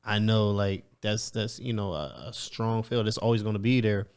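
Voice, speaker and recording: A man with a low, gravelly voice co-hosts a casual podcast. He talks haltingly, piling up fillers as he works toward his point. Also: fairly even intonation, a little mellow. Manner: hesitant, filler-heavy. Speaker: podcast co-host. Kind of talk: casual conversation.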